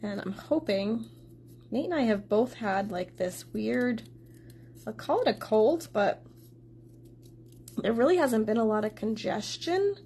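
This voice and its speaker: A woman's voice: fairly high pitch, animated, measured pace, pauses often, mellow, slight background noise. A woman hosting a casual card-making tutorial, chatting to her viewers while she cuts, a little congested from a sore throat. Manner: chatty, talks straight to viewers.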